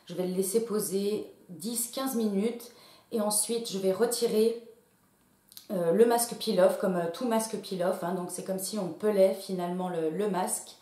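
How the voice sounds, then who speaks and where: A woman's voice: mid-range voice, normal speed, mellow, roomy sound. A woman in her late thirties giving a casual beauty product review, chatty and full of small fillers and restarts. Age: late 30s. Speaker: beauty vlogger.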